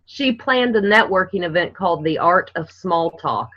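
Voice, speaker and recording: A woman's voice: on the low side, animated, normal speed, highs cut off. A woman on a casual group call, speaking bluntly and frankly and laughing now and then.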